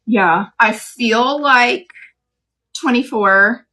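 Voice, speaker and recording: A woman's voice: fairly high pitch, drawn-out words, pushed and bright, poor audio. A woman in her late thirties hosting a live craft stream, chatting casually with viewers and explaining each step as she works. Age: 30s. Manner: chatty, laughs often, explains steps.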